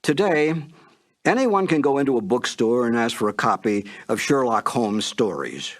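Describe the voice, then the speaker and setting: A man's voice: mid-range voice, lively intonation, normal speed. A man reading a scripted introduction as the narrator of a classic radio series.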